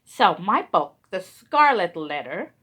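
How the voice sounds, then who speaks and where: A woman playing a stately 19th-century male author in a school skit, speaking slowly in a lowered voice. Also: very animated, fairly bright tone, husky, heavily acted. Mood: solemn.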